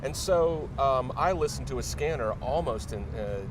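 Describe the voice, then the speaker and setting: A middle-aged man tells stories casually as an interview guest, with a halting, hesitant flow and an average-pitched voice. He sounds some way from the mic, with clear noise rumbling behind him. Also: expressive, distant mic. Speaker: interview guest, newspaper media director. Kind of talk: casual anecdotal storytelling.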